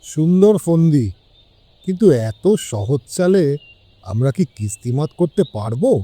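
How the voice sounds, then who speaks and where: A doubtful companion to a detective acted by a man in an audio drama. His voice is very dark yet slightly high, lilting widely as he questions. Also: sing-song, a little slow, no room sound. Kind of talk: Bengali audio story acting.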